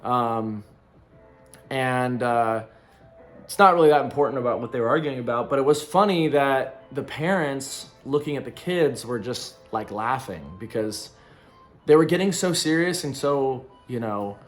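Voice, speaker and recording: A man's voice: mid-range voice, unhurried, faint music behind. A man of thirty talking loosely to camera in a casual reflective vlog, rambling with plenty of ums and likes. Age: 30s. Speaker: vlogger. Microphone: camera in front of him.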